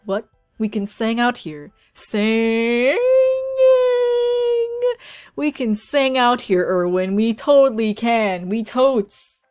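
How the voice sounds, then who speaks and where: A woman's voice: a little high, slow, long pauses, clean tone, faint music behind. A woman reading scripted drama lines as an amateur voice actor, breaking off into playful asides.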